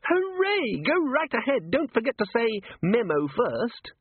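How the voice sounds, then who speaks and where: A man voicing a small, eager talking gadget in a high, sing-song, slow voice. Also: huge pitch swings, slightly rough, dry recording, full character voice. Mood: chirpy. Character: chirpy little talking gadget, eager machine. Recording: phone-like narrow band, dry.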